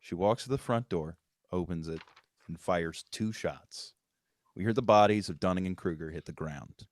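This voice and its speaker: A man's voice: sits low, normal speed, no echo. A man, the writer, reading out screenplay stage directions as narrator at a live table read.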